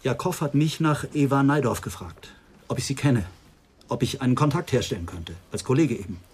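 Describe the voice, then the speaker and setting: Earnest, concerned hospital doctor, radio crime drama: mid-pitched, slightly husky, explaining at length. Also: male voice, expressive, brisk, a little mellow. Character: concerned, talkative male hospital doctor reporting a case.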